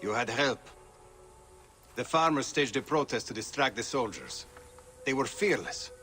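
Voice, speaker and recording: A man's voice: mid-range voice, expressive, unhurried, pushed and bright, faint music behind. An actor playing a grateful, earnest village man and ally in a game, speaking with pride. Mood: grateful, proud. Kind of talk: video game cutscene acting.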